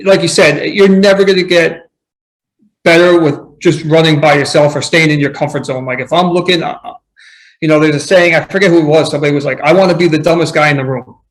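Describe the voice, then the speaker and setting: A man's voice: fairly high pitch, fairly even intonation, brisk, rough recording. A man guesting on a podcast, talking earnestly and reflectively in casual conversation, often restarting his sentences. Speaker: podcast guest, running coach.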